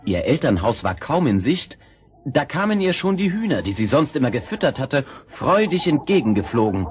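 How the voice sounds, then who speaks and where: A man narrating a fairy tale in an expressive storytelling style. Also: mid-range voice, normal speed. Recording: old audio play with music.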